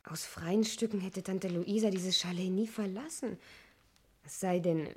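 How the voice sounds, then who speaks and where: Suspicious, husky-voiced niece. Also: female voice, medium pitch, steady tone, unhurried, a little mellow. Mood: grave, firm. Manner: sceptical, pointed, questioning. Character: suspicious, insistent adopted niece of a missing aunt. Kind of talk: 1960s German radio play acting.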